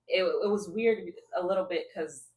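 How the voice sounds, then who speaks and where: A woman's voice: on the low side, normal speed, darkish tone. A young woman from New York talks as a guest on a casual podcast. She tells her story in a self-assured way. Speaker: guest TV writer.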